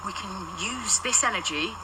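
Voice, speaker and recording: A woman's voice: mid-range voice, expressive, moderate pace, background noise, lightly acted. An actor, a woman, playing a brusque, irreverent time traveller in a radio drama, with a dry, blunt delivery. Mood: urgent, decisive.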